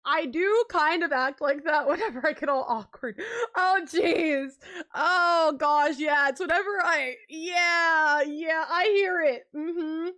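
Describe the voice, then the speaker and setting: A woman's voice: high-pitched, takes it slowly, bright, forceful tone. A young woman streaming live, chatting casually and rambling with lots of filler words and frequent laughs.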